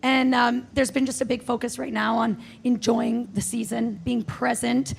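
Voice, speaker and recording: A woman's voice: a little high, little pitch movement, normal speed, vocal fry. A woman talking casually and emphatically as a podcast guest and coach. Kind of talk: casual live podcast talk. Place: live show before an audience. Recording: noisy live venue recording.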